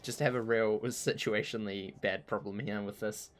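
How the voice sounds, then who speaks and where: Croaky low-voiced man rambling on stream. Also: steady tone, moderate pace. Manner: rambling and self-mocking, laughs easily. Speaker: streamer playing an online game. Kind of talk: casual chat while gaming.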